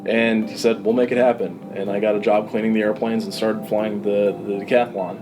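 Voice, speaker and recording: A man's voice: lowish voice, level tone, fairly quick, over music, low-quality recording. A young adult man talking casually and enthusiastically, like a pilot encouraging and instructing someone. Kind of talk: casual flight instruction and chat.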